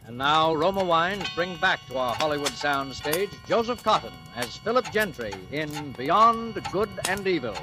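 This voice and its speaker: A man's voice: on the high side, expressive, normal speed, few pauses. A male show announcer giving a formal, declamatory 1940s radio program introduction. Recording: old radio broadcast with music.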